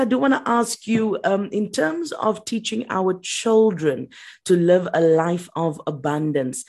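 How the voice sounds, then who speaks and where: A woman hosting an interview, introducing her guest admiringly and asking measured questions. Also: lowish voice, mellow. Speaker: radio host.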